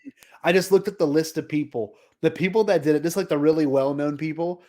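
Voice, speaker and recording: Enthusiastic, slangy man, slightly high voice. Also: quick pace, darkish tone. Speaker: podcast co-host. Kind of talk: casual fan discussion.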